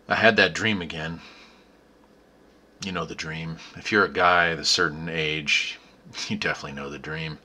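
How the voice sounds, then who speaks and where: A man in his forties hosting a car restoration vlog, talking to camera outdoors and then narrating a personal story. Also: fairly low pitch. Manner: upbeat on camera, then quiet storytelling voice-over. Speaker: restoration channel host. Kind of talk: vlog intro and reflective narration. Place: outdoors by a garage on gravel.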